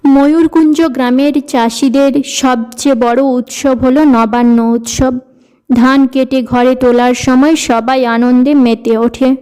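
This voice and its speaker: Female narrator for animated tale, high-pitched, warm dark tone, scripted delivery. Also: a little slow, clean tone. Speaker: storyteller, narrator. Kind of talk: scripted cartoon story narration.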